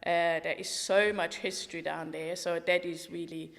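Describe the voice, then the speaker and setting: A woman's voice: fairly low pitch, steady tone, moderate pace, creaky. A middle-aged woman from Switzerland with a Swiss accent, a walking tour guide talking in a podcast interview in an enthusiastic, matter-of-fact way. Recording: roomy hall-like reverb.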